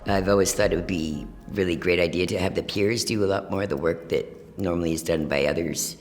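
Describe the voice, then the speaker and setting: A man giving casual, reflective interview answers as a peer journalist, earnest and halting in his phrasing. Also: low voice, soft background music.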